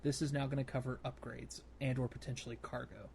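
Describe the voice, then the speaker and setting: A man with a medium-pitched voice chats as a podcast co-host. He explains things step by step, thinking aloud in a casual way. There is clear ambient noise behind him. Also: level tone, quick pace, a little mellow. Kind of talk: casual podcast discussion of gaming.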